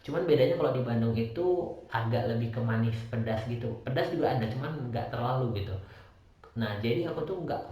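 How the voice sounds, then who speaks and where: A young man from Medan with a softened Medan accent, hosting a casual solo podcast, rambling with many fillers and self-corrections. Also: mid-range voice. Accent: Medan Indonesian, softened. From Medan, North Sumatra, Indonesia. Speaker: podcast host. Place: small rented room, indoors. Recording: very echoey small room.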